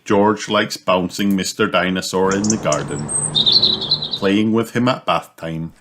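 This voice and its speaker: A man reading a children's picture book aloud in a slow, sing-song storytelling manner. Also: low voice, heavy background noise. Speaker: storybook reader. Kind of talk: children's read-aloud narration.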